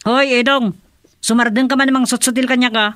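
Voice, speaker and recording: A woman's voice: a little high, fairly even intonation, brisk, fairly bright tone, no room sound, close to an everyday voice. An actress playing a chatty, feisty woman in an Ilocano radio drama. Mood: scolding.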